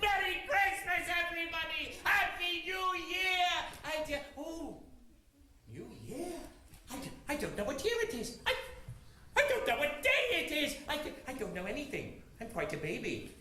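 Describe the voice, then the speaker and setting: A man's voice: very high voice, big pitch swings, a little fast, bright, forceful tone, pitched above the performer's own voice, heavily acted. A man voicing an old miser who has suddenly turned giddy and kind, stammering and laughing between his words. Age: older. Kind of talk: storytelling with voiced character. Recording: very echoey room.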